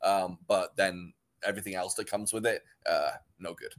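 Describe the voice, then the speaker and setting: A man's voice: low-pitched, steady tone, normal speed. A young man from North Wales with a North Welsh accent, rambling and laughing often as a podcast co-host. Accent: North Welsh, closer to English. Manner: laughs a lot, rambling with restarts. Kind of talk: casual podcast chat.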